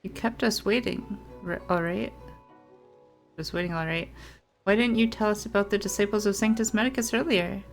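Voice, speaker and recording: A woman's voice: mid-range voice, moderate pace, creaky. A woman gaming streamer giving casual, teasing reaction commentary as she plays. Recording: faint game music behind.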